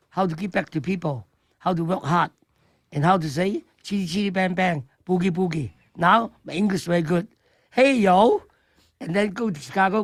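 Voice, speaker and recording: Older chef, gravelly. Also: male voice, fairly high pitch, dry recording. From Hong Kong. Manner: broken English, animated and joking. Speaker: chef guest. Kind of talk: radio interview.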